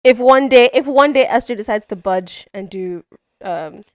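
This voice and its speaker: A woman's voice: on the high side, moderate pace, dry recording, studio quality. A woman with a Nigerian accent co-hosting a podcast in casual chat. She talks animatedly, with emphatic asides and easy laughter. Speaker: podcast co-host.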